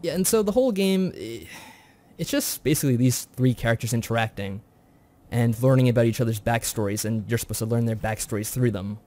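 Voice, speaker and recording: A man's voice: medium pitch, animated, fairly quick, dark tone. A man co-hosting a review show, recapping a story in a chatty, informal way. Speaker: co-host of a visual novel review show.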